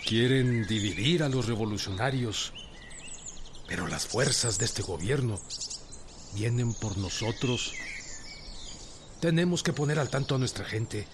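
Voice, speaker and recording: An actor with a Mexican accent playing a plainspoken, defiant rural revolutionary general in a radio drama. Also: male voice, medium pitch, lively intonation, a little slow, pauses often, background noise, close to an everyday voice. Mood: wary, resolute.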